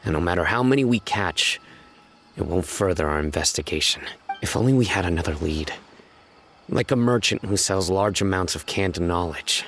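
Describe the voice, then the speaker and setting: A grim game lawman with a very low, deep voice. He speaks curtly and formally, issuing commands and questions without softness. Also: animated, normal speed, a little hiss or hum. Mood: stern. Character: stern young male lawman, grim and relentless. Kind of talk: video game voice acting.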